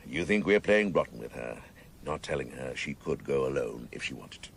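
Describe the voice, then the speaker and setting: Vengeful senior police chief for radio drama: gravelly, grandiose, with wide dramatic swings. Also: male voice, rumbling low, expressive, moderate pace, slight background noise, exaggerated voice. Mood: sardonic, brooding. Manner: sardonic, grandiose, savouring his words.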